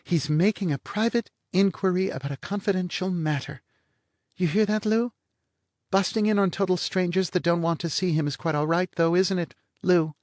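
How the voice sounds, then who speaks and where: A man's voice: high voice, normal speed, a little mellow, dry recording, studio quality, voice pushed up, natural voice. A man voicing a languid, teasing blonde woman with a lazy, sardonic drawl. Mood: mocking.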